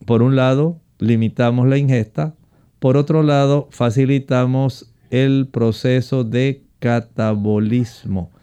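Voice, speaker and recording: Smooth, mellow-voiced man explaining health. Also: medium pitch. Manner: calm, explanatory, addresses listener directly. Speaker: health expert guest. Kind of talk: explaining to callers.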